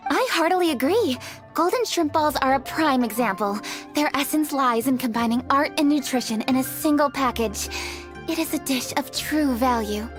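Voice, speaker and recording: A woman voicing an earnest, scholarly young official in a video game, speaking briskly and clearly. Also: sits high, music in the background, close to an everyday voice.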